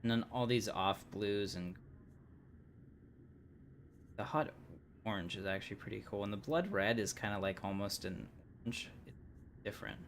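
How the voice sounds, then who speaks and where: Easygoing low-voiced male streamer. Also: normal speed, pauses often, a little hiss or hum. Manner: rambling, easygoing, many fillers. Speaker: livestream gamer. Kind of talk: casual gameplay commentary.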